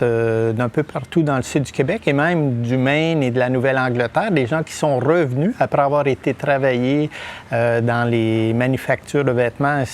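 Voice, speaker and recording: A middle-aged Québécois man speaking as a podcast guest. His voice has a gravelly, creaky edge. He pauses often with fillers and restarts as he builds his answers. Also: mid-range voice. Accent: Québécois French. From Quebec, Témiscamingue. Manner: hesitant, many fillers and restarts. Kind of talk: podcast interview.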